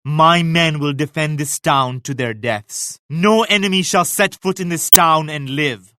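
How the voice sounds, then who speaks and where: An actor playing a devout, regal Mughal emperor in a video game, speaking in a formal, grave and pious manner. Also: male voice, a little high, a little slow, pushed and bright, no room sound, studio quality. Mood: defiant, solemn. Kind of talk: scripted game character lines.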